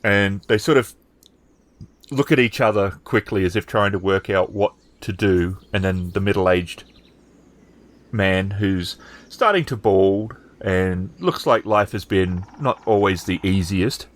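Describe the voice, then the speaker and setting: A man narrating a tabletop game as game master, improvising with halting, hesitant phrasing. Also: sits low.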